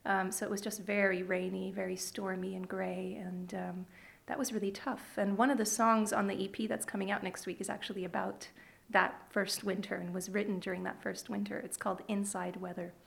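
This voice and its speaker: A woman's voice: mid-range voice, flat delivery, a little fast, creaky. A woman in her thirties with a Canadian accent, chatting thoughtfully and a little hesitantly as a musician guest in a radio interview.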